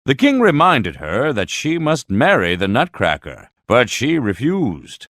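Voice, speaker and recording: A man narrating a children's bedtime storybook in a deliberate, expressive way. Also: mid-range voice, no echo, clean studio sound.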